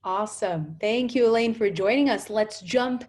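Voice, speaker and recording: A woman's voice: mid-range voice, moderate pace, darkish tone. Upbeat woman hosting a video call panel. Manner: upbeat, filler-heavy with "you know" and "right?". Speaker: host.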